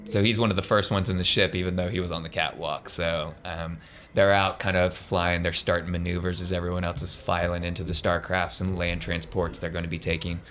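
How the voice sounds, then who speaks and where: A man with a low voice narrates a tabletop game as game master. He tells it casually, as if chatting with friends, with faint noise in the room. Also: little pitch movement, brisk, few pauses, a bit bright. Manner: casual, conversational storytelling.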